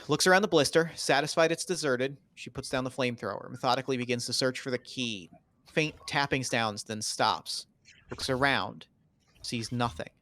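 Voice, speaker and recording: A man's voice: medium pitch, bright, forceful tone, no echo. A man reading screenplay stage directions in a dramatic table read.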